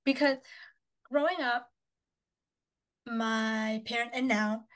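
Chatty young woman, higher voice. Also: fairly even intonation, slow pace. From Canada. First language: Cantonese. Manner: chatty, upbeat, lots of fillers. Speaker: podcast co-host. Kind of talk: casual chat.

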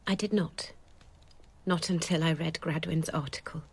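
An actress playing a stern, cultured classics academic in a British radio drama, formal, clipped and disdainful. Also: female voice, low-pitched, steady tone, moderate pace, slightly rough, no echo. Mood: prickly, controlled.

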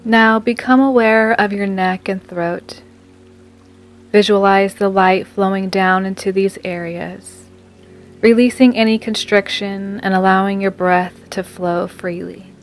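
A woman guiding a scripted meditation in a soothing, measured manner with long pauses. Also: mid-range voice, steady tone. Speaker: meditation guide and podcast host. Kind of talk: guided meditation, scripted narration. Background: music.

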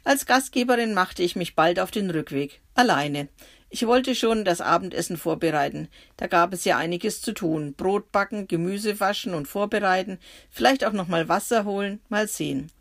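A woman narrating a bible story for children in the first person, gentle and reflective. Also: mid-range voice, moderate pace.

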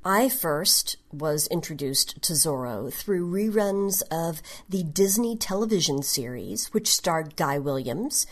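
Slow-spoken, lowish-voiced woman presenting. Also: expressive. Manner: measured, explanatory. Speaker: genre history segment presenter. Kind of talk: prepared podcast talk.

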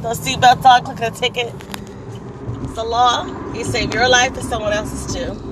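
A woman with a hoarse, raspy voice, chatting casually as she drives to work and often laughing and clearing her throat. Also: fairly high pitch, steady tone, normal speed, noisy background. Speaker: vlogger. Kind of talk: casual chat while driving. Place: in a car on a commute.

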